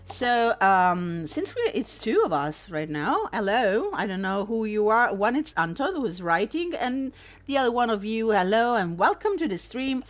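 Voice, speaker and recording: A woman with an Italian accent, casually commenting on a game stream in a sardonic, animated way and swearing freely. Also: fairly high pitch, normal speed. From Italy. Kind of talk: casual let's-play commentary.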